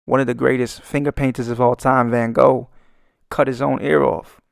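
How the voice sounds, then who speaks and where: A man's voice: medium pitch, level tone, moderate pace, creaky, clear, resonant tone, no echo, studio quality. A young man with a Brooklyn accent giving swaggering, profane, joking commentary while he draws. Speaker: artist and channel host. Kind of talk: rambling comedic commentary over a speedpaint.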